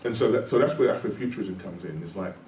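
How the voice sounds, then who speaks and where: A man's voice: fairly low pitch, fast talker, a little mellow, a little hiss or hum. A British-born man hosting an academic conversation, thinking aloud and often repeating words as he works through an idea. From Britain. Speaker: academic host. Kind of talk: conversational discussion. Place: room at a public talk event. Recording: roomy, distant mic, faint ambience.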